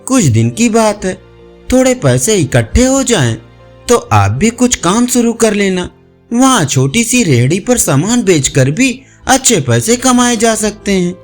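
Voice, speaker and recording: A man's voice: high voice, sing-song, unhurried, soft background music. A man voicing an earnest, shy young village woman in an audio story, politely persuading. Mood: pleading.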